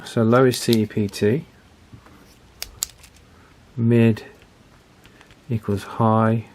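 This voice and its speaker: Low-voiced man thinking aloud while tinkering. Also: a little mellow. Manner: hesitant, methodical, repeats himself while working. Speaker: radio repair hobbyist presenting a video. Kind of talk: casual hands-on repair commentary, thinking aloud.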